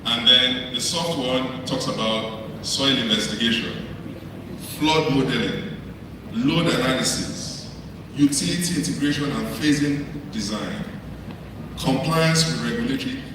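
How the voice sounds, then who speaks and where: A middle-aged Nigerian man with a Nigerian English accent giving a conference talk, speaking quickly with many ums and uhs. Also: medium pitch. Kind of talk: conference presentation. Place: large conference hall. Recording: far-off mic, echoey hall, noisy.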